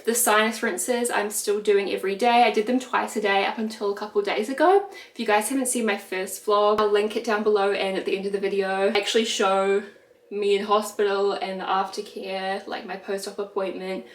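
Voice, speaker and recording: A woman's voice: mid-range voice, level tone, a little fast. A young woman with a New Zealand accent talks in a loose, chatty way, full of fillers. Her nose is blocked, so her voice sounds nasal and stuffy. She is recorded in a kitchen with hard walls that make the sound echo. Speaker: vlogger. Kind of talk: casual chat. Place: home kitchen. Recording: echoey room.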